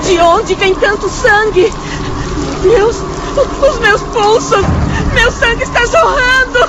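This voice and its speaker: A woman's voice: very high voice, slow. An actress playing a panicked, suffering female spirit in a radio drama, wailing and pleading frantically. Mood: terrified panic. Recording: very noisy crowd din behind.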